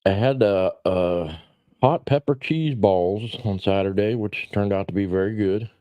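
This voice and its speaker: A man's voice: lowish voice, unhurried, dark tone, dry recording. A man in his forties chatting casually on a livestream, rambling in a blunt, self-deprecating, salty way. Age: 40s. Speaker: livestream host.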